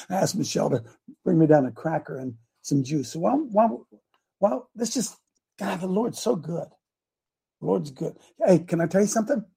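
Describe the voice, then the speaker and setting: An older man hosting a morning talk show, with a gravelly, hoarse voice, folksy and emphatic, repeating his phrases as he preaches. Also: on the high side, mellow. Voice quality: gravelly, raspy voice. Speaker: host.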